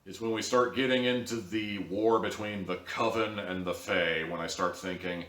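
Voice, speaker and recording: Low-voiced man in an echoey room. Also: steady tone, moderate pace, fairly bright tone, recorded from a distance. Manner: sarcastic, blunt, swearing. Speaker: online audio drama reviewer. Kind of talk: casual opinionated review commentary.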